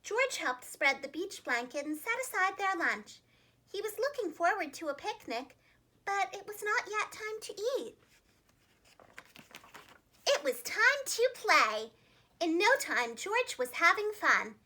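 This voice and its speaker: A woman reading a children's storybook aloud in an expressive, carefully enunciated preschool-teacher style. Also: very high-pitched, unhurried.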